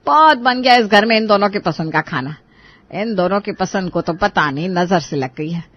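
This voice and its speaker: A woman's voice: mid-range voice, animated, normal speed, dry recording, muffled recording, close to an everyday voice. Woman acting bossy, stern older Indian mother-in-law. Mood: firm, complaining. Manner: scolding, commanding, emphatic. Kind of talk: Hindi cartoon family drama dialogue.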